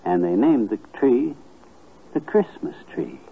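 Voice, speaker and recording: A man's voice: fairly low pitch, sing-song, a little slow, vocal fry, breathy edge, full character voice. An actor playing a kindly, folksy man telling stories to a child in homespun, hesitant speech, in 1950s radio comedy style. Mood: tender. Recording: muffled vintage 1950s radio recording.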